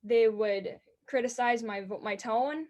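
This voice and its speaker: An eighteen-year-old woman with a high-pitched voice, earnestly answering interview questions about racing announcing. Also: warm tone, lo-fi sound. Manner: earnest and enthusiastic, quick bursts.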